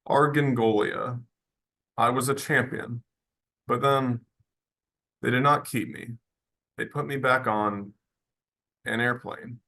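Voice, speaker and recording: A man voicing an earnest, homesick horse who speaks in short, halting, childlike sentences in a radio drama table read. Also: medium pitch, steady tone, creaky, pushed and bright, full character voice. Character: simple earnest horse, plain-spoken and homesick.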